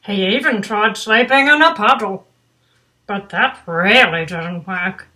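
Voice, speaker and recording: A woman's voice: mid-range voice, measured pace, background noise. An older woman reading a children's bedtime story aloud with doting, grandmotherly asides. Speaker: storybook reader.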